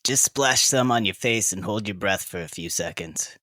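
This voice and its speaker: Young half-orc woman: blunt, helpful, sharp-edged tone, casual audio drama delivery, very dry sound. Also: very deep voice, normal speed, dry recording, heavily acted.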